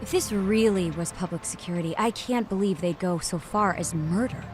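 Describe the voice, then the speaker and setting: A woman's voice: fairly low pitch, normal speed, over music, natural voice. A woman playing a candid, direct colleague with some authority in an English game dub. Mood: concerned.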